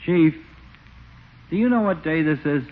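A man's voice: fairly high pitch, a little slow, lightly acted. An actor playing a wisecracking, sociable small-town man in an old radio comedy, folksy and wry. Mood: jovial. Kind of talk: 1940s radio sitcom acting. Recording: muffled, noisy old radio recording.

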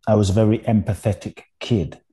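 A man's voice: on the low side, little pitch movement, fairly quick, dark tone. A man from Ghana raised in London, a speaker, telling his story earnestly with hesitant restarts. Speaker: podcast guest, inspirational speaker. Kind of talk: reflective storytelling.

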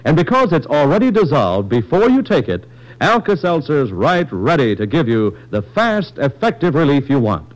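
A man working as a radio announcer, delivering a polished, emphatic 1940s-style commercial pitch. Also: very animated, moderate pace, poor audio.

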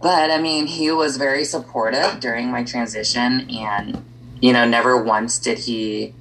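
A woman's voice: very deep voice, fairly even intonation, unhurried, fairly bright tone, a little hiss or hum, low-quality recording. A woman speaking as a podcast guest in a casual conversation, easygoing and thinking aloud with frequent ums and likes.

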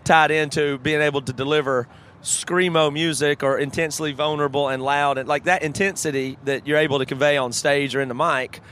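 A man with a fairly high voice, talking in casual podcast chat. He is thoughtful and frank and often restarts his sentences while he works out an idea. Now and then a curse slips in. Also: fairly even intonation, a little fast, fairly bright tone, a little hiss or hum. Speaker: podcast co-host.

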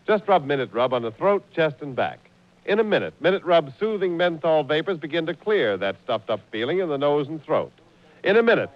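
A male announcer delivers a scripted 1940s radio commercial. His voice is fairly high and very animated. The old broadcast is muffled, with faint noise.

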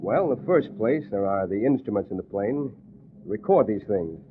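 A man playing a calm army colonel. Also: fairly low pitch, moderate pace, natural voice. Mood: assured. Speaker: air force colonel. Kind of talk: 1940s radio acting. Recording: noisy lo-fi old radio broadcast.

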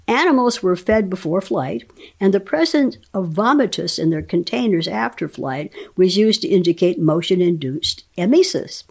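A woman reading a scientific paper aloud as a guest dramatic reader, enunciating technical terms deliberately. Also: fairly low pitch, lively intonation, creaky, darkish tone.

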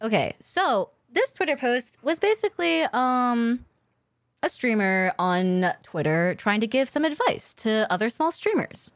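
A woman co-hosting a casual talk show for streamers, chatting with playfully cynical, deadpan teasing. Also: on the high side, measured pace, no echo, studio quality. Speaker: podcast co-host and streamer. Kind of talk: casual chat, reacting to online posts.

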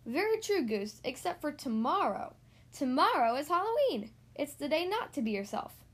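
Storybook duck, young male, easygoing and keen, high-pitched, voiced by a woman. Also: very animated, normal speed, a bit bright. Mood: eager.

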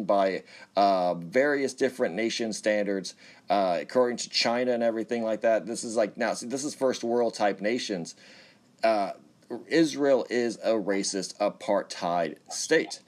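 A man's voice: medium pitch, a little mellow. A man talking bluntly in a casual livestream conversation, swearing often and filling gaps with "like" and "uh". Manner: blunt, profane, filler-heavy.

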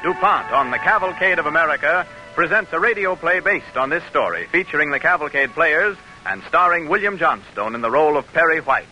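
A man's voice: a little high, lively intonation, brisk, pushed and bright, low-quality recording. Polished male announcer over music. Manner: formal, polished delivery. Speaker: radio announcer. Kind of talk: 1940s radio announcing. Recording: old radio broadcast with music.

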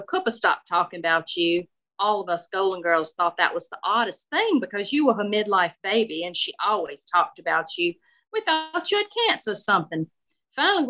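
A woman's voice: medium pitch, sharp tone. A woman storyteller voicing a chatty, nosy seventy-seven-year-old lady who calls everyone darling. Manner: gushing, outspoken, calls everyone darling and honey.

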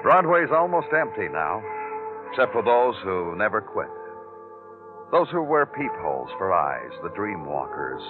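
An actor playing a world-weary, relentless police detective in a 1950s radio noir drama, terse, deliberate and brooding. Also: male voice, fairly low pitch, very animated. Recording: tinny vintage radio with music.

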